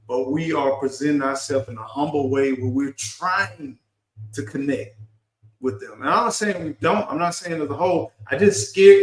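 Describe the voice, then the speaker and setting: A man's voice: fairly high pitch, fairly bright tone. Earnest middle-aged man, echoey room. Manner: earnest, urging, repeats and restarts words. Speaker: live stream host. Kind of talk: casual live stream talk.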